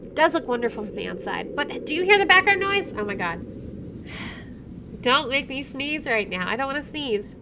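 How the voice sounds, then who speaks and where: A woman's voice: high-pitched, big pitch swings, moderate pace, creaky, background noise. A woman streamer reading visual novel text aloud and breaking in with casual, playful asides. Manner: chatty, self-interrupting, playful reactions. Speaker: video game streamer doing a let's play.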